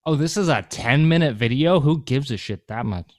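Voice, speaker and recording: Male podcast co-host, mid-pitch, profane and rambling, dry close mic. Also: expressive, normal speed, dry recording. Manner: swears a lot, rambling. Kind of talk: casual podcast banter.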